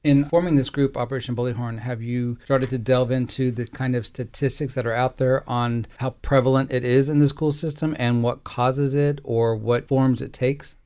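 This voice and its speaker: A man acting as interviewer, putting questions in a formal, measured and articulate way. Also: medium pitch, little pitch movement, darkish tone.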